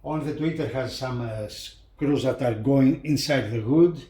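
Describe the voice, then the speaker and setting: Slow-paced man giving a halting product walkthrough. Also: medium pitch, mellow. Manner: halting, repeats phrases as he points things out. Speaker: hi-fi reviewer presenting a loudspeaker. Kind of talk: casual hands-on video commentary.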